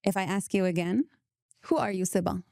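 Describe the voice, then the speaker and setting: Mellow-voiced woman hosting an interview. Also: mid-range voice, brisk, vocal fry, no room sound, studio quality. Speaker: podcast host. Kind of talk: conversational interview.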